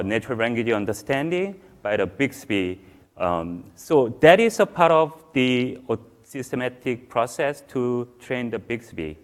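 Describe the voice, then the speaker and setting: Korean-accented man giving a conference talk. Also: medium pitch. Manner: halting, many fillers and restarts. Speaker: conference speaker. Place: large conference hall stage.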